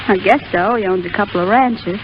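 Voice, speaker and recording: A woman's voice: mid-range voice, brisk, slight background noise, close to an everyday voice. An actress playing a lovelorn young American showgirl in a 1930s radio drama, with plain, slangy, understated speech. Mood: matter-of-fact. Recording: dull 1930s radio broadcast with music behind.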